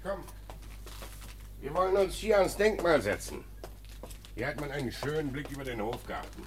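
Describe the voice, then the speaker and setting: A male actor playing a kindly, learned senior judge in a radio drama, speaking in measured, didactic, formal phrases. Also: fairly high pitch, lively intonation, a little hiss or hum, close to an everyday voice. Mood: genial.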